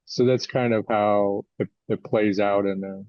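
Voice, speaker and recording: A man's voice: fairly low pitch, fairly even intonation, measured pace, no echo. Older American man, dull lo-fi recording. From United States, California. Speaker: guest author. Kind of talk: conversational interview answers. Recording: dull lo-fi audio.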